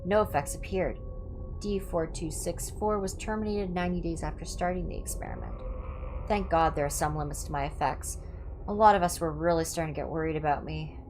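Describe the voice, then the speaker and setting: Low-voiced woman reading horror, ASMR style. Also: a little fast, background noise. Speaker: streamer reading horror stories aloud. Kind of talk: ASMR reading of scripted horror entries with casual asides.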